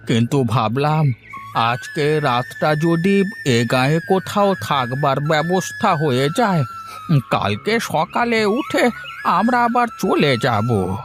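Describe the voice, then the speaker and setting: A man playing a weary, gentle old farmer in a cartoon fairy tale, talking in a folksy way. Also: a little high. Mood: polite.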